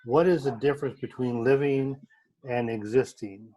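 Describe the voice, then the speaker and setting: A man leading a club meeting session in a friendly, halting way, with many fillers and repeats. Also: mid-range voice, steady tone, mellow, low-quality recording.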